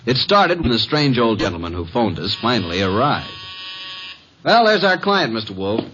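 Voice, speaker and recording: An actor playing a breezy, wry detective's assistant and narrator in an old American radio mystery. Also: male voice, medium pitch, very animated, moderate pace, fairly bright tone. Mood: wry narration. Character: wisecracking, eager male detective's assistant and narrator. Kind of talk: 1940s-50s American radio acting. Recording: noisy, dull old radio recording.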